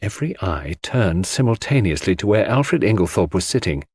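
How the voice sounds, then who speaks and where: A man's voice: low-pitched, very animated, normal speed, darkish tone, husky, dry recording, studio quality. A man reading scripted narration of a classic mystery as an audiobook narrator.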